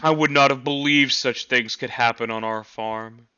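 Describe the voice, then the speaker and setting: A man voicing a loyal, hardworking farm horse in a storybook, earnest and halting in his speech. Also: mid-range voice, steady tone, bright, forceful tone, smooth, muffled recording, natural voice. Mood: troubled, resolute. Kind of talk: storybook character reading.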